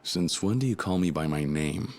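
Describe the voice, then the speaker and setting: Fields: very deep, gravelly stern yakuza. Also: male voice, lively intonation, normal speed. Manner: blunt, threatening. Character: stern, gruff young yakuza man.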